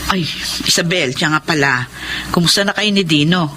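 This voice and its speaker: A woman's voice: fairly low pitch, moderate pace, bright, forceful tone. A Filipino woman acting in a radio drama as a concerned, nosy middle-aged mother. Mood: inquisitive. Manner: fussing, motherly. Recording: faint background noise.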